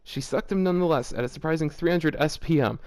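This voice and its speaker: A man hosting a casual fan fiction reading at home, reading aloud awkwardly and halting often with "like" fillers. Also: a little high, darkish tone, clean tone, no echo. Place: home shared with several people.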